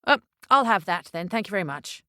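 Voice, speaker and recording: A greedy, haughty rich man from a storybook, acted out by a woman. He speaks imperiously, quick to anger, with lively pitch movement. The sound is dry. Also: no echo. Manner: imperious, commanding. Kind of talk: children's storytelling character voice.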